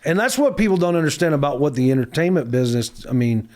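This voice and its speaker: A man's voice: fairly high pitch, big pitch swings, fast talker, darkish tone. Laid-back rambling man guest. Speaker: guest singer. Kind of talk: casual chat.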